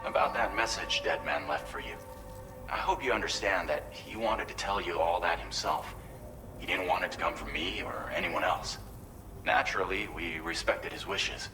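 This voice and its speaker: A man's voice: low-pitched, normal speed, music in the background, close to an everyday voice, rough recording. A man playing a friendly, earnest colleague who gives a chatty, considerate briefing in a video game. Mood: sincere.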